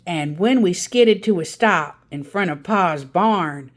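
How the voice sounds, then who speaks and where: A woman reading a children's book aloud as a storyteller, with a dramatic, deliberate delivery. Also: on the low side, lively intonation. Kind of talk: expressive children's book narration.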